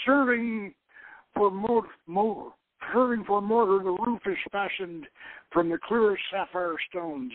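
High-voiced wry gentleman adventurer, read by a man. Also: dry recording, full character voice. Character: gentlemanly, skeptical, wry male archaeologist adventurer. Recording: dull, lo-fi radio sound.

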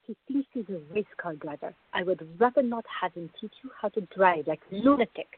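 Woman playing a sensible young lady in a radio play, bit husky, dryly teasing, muffled lo-fi audio. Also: medium pitch, lively intonation, a little fast. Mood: teasing, affectionate. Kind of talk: radio drama acting. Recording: dull lo-fi recording.

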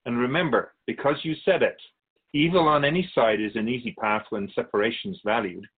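A man playing a wise, gentle, cryptic otherworldly keeper of souls in a radio drama, speaking in a measured, courteous, philosophical way. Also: mid-range voice, breathy edge, narrow, tinny audio. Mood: cryptic, teaching.

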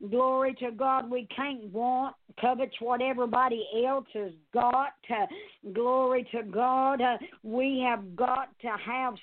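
Lady preacher, high pitched, takes her time, exhorting sermon w lots of little praise interjections, dry sound. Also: steady tone, unhurried, dry recording, phone-quality sound.

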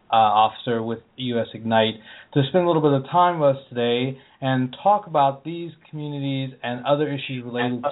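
A man hosting a radio talk show, opening the show and interviewing a guest in a conversational, friendly way. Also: mid-range voice. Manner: conversational, friendly, with occasional fillers. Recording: phone-line audio.

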